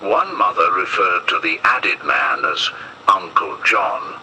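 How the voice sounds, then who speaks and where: Male narrator for analog-horror warning tape: very low voice, grave, measured, lively pitch movement. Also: slight background noise, rough recording.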